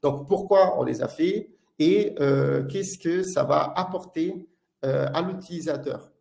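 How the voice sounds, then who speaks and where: Italian-accented man with a creaky voice. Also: fairly high pitch, a little mellow. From Italy. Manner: halting, hesitant delivery with frequent filler sounds. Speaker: product manager presenting a webinar. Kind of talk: live webinar presentation.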